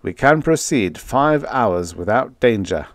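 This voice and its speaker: A man's voice: mid-range voice, lively intonation, unhurried, dry recording. A man voicing a formal spaceship crewman who reports data, intoning precisely in a science fiction story. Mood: composed.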